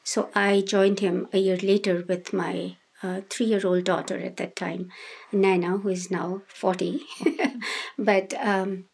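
A woman in her sixties from India, answering interview questions in a thoughtful, hesitant way with many pauses and restarts. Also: medium pitch, flat delivery, a little mellow, husky. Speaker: guest, domestic violence shelter worker.

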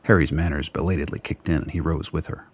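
A man narrating a radio play in a measured, dramatic, literary storytelling style. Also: rumbling low, fairly even intonation, breathy edge.

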